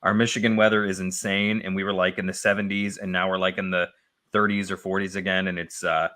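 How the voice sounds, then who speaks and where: A man's voice: low voice, little pitch movement, fast talker, sharp tone. A man co-hosting a reflective spiritual discussion, his voice a little scratchy and sniffly, thoughtfully repeating key phrases as he builds his ideas. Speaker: co-host.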